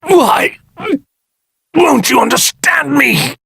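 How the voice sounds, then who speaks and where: Arrogant villain god, anime game style, grandiose, slow deliberate delivery, gravelly creak, menacing. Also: male voice, extremely high pitch, expressive, pushed and bright, dry recording, clean studio sound, exaggerated voice. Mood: enraged. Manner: pompous, theatrical, grandiose, menacing. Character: arrogant evil god in a stolen warrior body. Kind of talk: anime video game voice lines.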